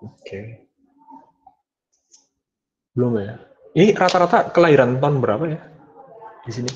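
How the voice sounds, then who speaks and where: A man's voice: medium pitch, lively intonation, measured pace, darkish tone, a little hiss or hum. Hesitant young male lecturer on a call. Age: 20s. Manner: hesitant, many fillers and restarts. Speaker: university lecturer. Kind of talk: online class wrap-up. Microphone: video call. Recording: dull lo-fi call audio.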